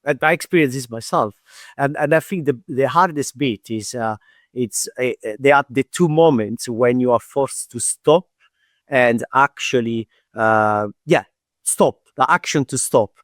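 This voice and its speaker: A man's voice: mid-range voice, a little slow, no room sound, studio quality. A man speaking as a guest coach in a podcast interview, thoughtful and searching for his words with frequent hesitations. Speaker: performance mental coach, guest. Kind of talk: conversational interview.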